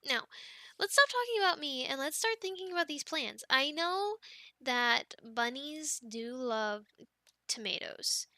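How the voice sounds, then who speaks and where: A young woman in an ASMR girlfriend roleplay, chatting softly and wandering from thought to thought with little laughs. Her voice is bright and a touch high. It is recorded close and dry. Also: expressive, measured pace, no room sound. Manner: soft, chatty and rambling, with many ums and light laughs.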